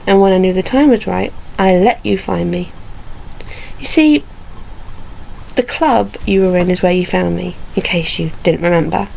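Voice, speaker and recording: Creaky-voiced woman as a taunting vampire hunter. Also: mid-range voice, darkish tone, a little hiss or hum, poor audio. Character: vengeful, taunting female vampire hunter and ex-investigator. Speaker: ASMR roleplay performer. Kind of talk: ASMR roleplay monologue as a vampire hunter.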